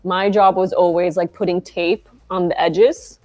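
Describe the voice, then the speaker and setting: A woman's voice: on the low side, fairly even intonation, a little slow, warm tone. A young woman from Argentina, a founder guest on a video call, talking casually with many fillers. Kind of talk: casual interview chat. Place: bright shop interior, video call.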